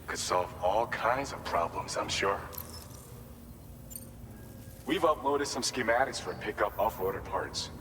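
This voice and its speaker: Game cutscene role: earnest, plain-spoken former fighter, measured delivery, lowish voice, long reverb. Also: male voice, expressive. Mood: informative. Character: earnest, humble male former boxing champ at a facility. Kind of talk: video game cutscene dialogue and briefing. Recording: reverberant hall, background vehicle noise.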